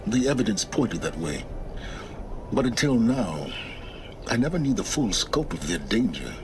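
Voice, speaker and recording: An actor playing an aloof, impatient middle-aged scholar of ruins in a video game, measured and condescending. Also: male voice, lowish voice, sing-song, background noise. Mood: curt. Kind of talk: dramatic video game acting.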